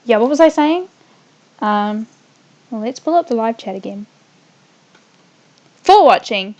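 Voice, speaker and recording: A young woman with a mild New Zealand accent talks casually through a home gaming stream. Her voice sits a touch high, and she wanders between thoughts, leaves sentences unfinished, and fills gaps with hums and little noises. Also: lively intonation, a little slow, darkish tone, lo-fi sound. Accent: New Zealand, slightly softened by Australia. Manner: rambling, scattered, trails off mid-sentence; hums and makes small random noises while working. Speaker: gaming livestreamer. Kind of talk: casual livestream chat while building in a game.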